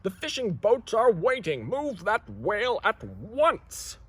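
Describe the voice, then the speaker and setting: A man voicing an angry, bossy storybook harbor master who shouts orders. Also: high-pitched, huge pitch swings, takes it slowly, slightly rough, dry recording, pitched above the performer's own voice, exaggerated voice. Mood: angry, urgent.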